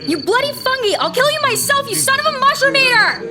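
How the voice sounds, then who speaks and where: A woman's voice: very high-pitched, sing-song, brisk, echoey room, over a noisy crowd. An actress playing a fierce, enraged captive woman, cursing in a fantasy audio drama.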